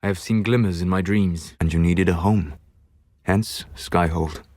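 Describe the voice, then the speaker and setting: An actor playing a solemn, learned elven mage in a video game, speaking in measured, formal, eloquent phrases with a grave, regretful manner. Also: male voice, deep voice, a little mellow, no echo, studio quality. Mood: weary, solemn. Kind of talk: video game character dialogue.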